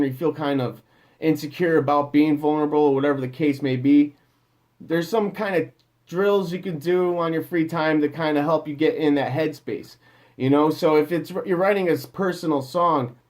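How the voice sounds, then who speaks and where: A man with a slightly higher voice talks through singing advice in a loose, off-the-cuff way. He wanders and restarts his sentences, leaning on fillers as he goes. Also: darkish tone. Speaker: music producer giving vocal advice. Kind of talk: casual advice talk.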